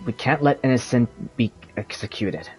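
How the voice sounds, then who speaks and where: A man reading video game dialogue aloud in a halting, deliberate, amateur way. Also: medium pitch, over music, low-quality recording.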